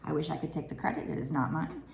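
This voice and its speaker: A woman in her thirties with a raspy, tired voice, giving a casual, rambling tarot card reading at a table indoors. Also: deep voice, fast, a little mellow, echoey room, a little hiss or hum. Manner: chatty, rambling, with many fillers. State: raspy from lack of sleep. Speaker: tarot reader.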